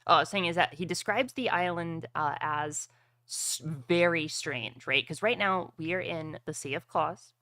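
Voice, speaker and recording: A man's voice: on the high side, moderate pace, pushed and bright, no room sound, studio quality. A man narrating a tabletop roleplaying game as game master, talking casually and crudely.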